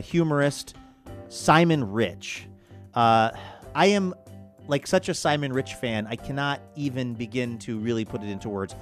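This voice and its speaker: Enthusiastic male radio host over guitar music. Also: mid-range voice. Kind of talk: upbeat show promo and interview talk.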